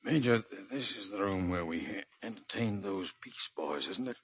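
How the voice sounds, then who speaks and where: An actor playing a badly wounded, weary naval commander, weak and halting, in 1940s radio drama style. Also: male voice, low-pitched, animated, breathy edge. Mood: weak, wistful. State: weak, labored speech.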